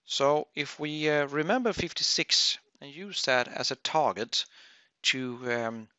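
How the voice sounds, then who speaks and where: Guy doing a webinar software walkthrough, slow n halting, dull dry audio. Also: on the high side, expressive, no room sound. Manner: halting, thinking aloud, frequent throat clearing. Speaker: webinar presenter. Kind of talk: unscripted software tutorial.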